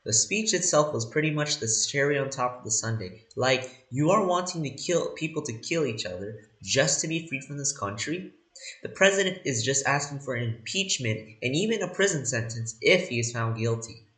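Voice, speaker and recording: A man's voice: medium pitch, lively intonation, normal speed, fairly bright tone, close to an everyday voice. A man playing an indignant news anchor who comments on live events in an emphatic, incredulous, conversational way, recorded in a roomy space.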